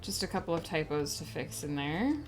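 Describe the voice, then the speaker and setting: A woman's voice: sits low, fairly quick, over music. A woman with a stuffy nose, reading aloud from her own novel draft on a stream and chatting between passages. She often stumbles, restarts sentences and corrects herself, speaking close to a desk microphone at home. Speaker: author and streamer.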